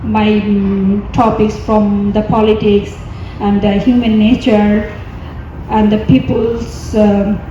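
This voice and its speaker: Woman from Bangladesh, poet on a panel, hesitant and filler-heavy, slow, echoey hall. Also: medium pitch, little pitch movement, mellow, a little hiss or hum. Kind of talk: panel discussion answer. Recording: echoey hall, dull lo-fi sound.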